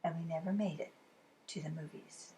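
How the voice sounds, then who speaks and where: A woman reading a children's story aloud as expressive storytime narration, animated and with dramatic pauses. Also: deep voice, a little hiss or hum. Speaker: storybook reader.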